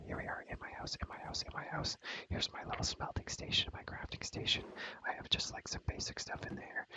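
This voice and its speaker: A man's voice: bright, forceful tone, poor audio. A young man whispering breathily in a casual, slangy ASMR gameplay commentary, rambling and repeating his phrases. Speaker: ASMR video creator, gamer.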